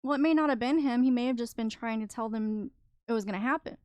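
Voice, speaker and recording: A woman's voice: fairly high pitch, quick pace, darkish tone, clear, resonant tone, no room sound, muffled recording. A woman co-hosting a podcast, earnestly recounting stories in a casual chat. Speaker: podcast co-host.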